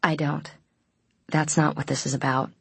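Woman reading a defensive, stubborn, husky teenage girl. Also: low-pitched, level tone, no room sound, full character voice. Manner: halting, defensive.

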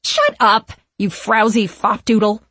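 A woman's voice: mid-range voice, lively intonation, unhurried, a little mellow, breathy edge, no room sound. Haughty, piercing villainous witch. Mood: scornful. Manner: grand archaic diction, scornful. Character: haughty, imperious, theatrical villainous witch woman. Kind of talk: audiobook character acting.

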